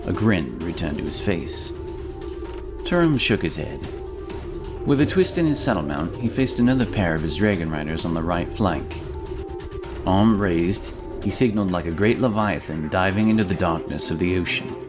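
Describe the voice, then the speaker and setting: A low-pitched male narrator reads a scripted fantasy adventure over prominent music. His delivery is measured and dramatic, sitting deep in his register. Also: animated. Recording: music behind the voice.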